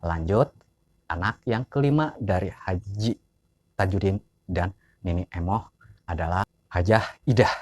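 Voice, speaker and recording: A man's voice: sits low, a little slow, pauses often, darkish tone. A man, giving an informal biographical narration as he lists names and years. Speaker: narrator of family history.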